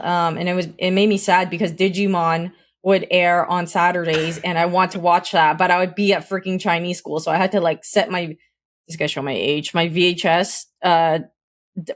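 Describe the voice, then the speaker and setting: Woman, thirties, somewhat low voice, chatty, reminiscing, casual podcast co-host. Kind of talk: casual chat.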